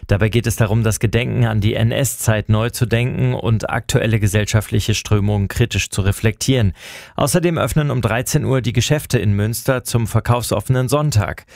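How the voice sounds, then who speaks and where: A man with a low, gravelly voice reads a news report in a steady, informative way. The recording is close and dry. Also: dry recording. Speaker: radio reporter.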